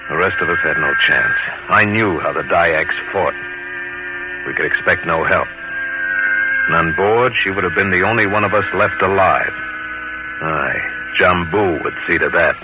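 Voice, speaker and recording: An actor playing a weathered seafaring adventurer telling his tale gravely and deliberately, in old-time radio drama style. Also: deep voice, animated. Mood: grave, tense. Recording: muffled vintage radio broadcast, music behind.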